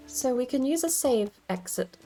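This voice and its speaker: A woman's voice: high-pitched, lively intonation, normal speed, warm tone, soft background music. A woman streaming a game from home, rambling and thinking aloud as she plays. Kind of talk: casual gameplay commentary.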